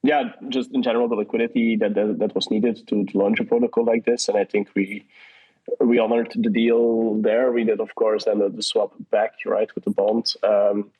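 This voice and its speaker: A man's voice: lowish voice, little pitch movement, normal speed, slightly rough. A man answering questions in a casual online audio chat, hesitant, with many restarts and fillers. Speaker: guest from a partner project. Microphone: online audio call. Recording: dull lo-fi audio call.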